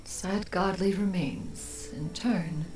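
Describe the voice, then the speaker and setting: A woman's voice: lowish voice, slow, recorded from a distance. A woman voicing a proud, stately female crane immortal in a game, ancient and haughty, speaking in formal, lofty phrases. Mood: haughty, reflective. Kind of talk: scripted game character narration. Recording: echoey game audio over music.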